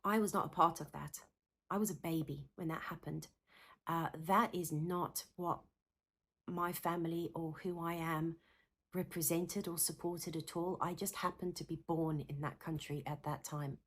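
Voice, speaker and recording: This is a woman with a South African accent and a lowish voice. She teaches trainee therapists to camera in an earnest, passionate way, often doubling words for emphasis. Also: fairly even intonation, normal speed, husky. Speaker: counsellor and psychotherapist. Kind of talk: direct-to-camera teaching talk.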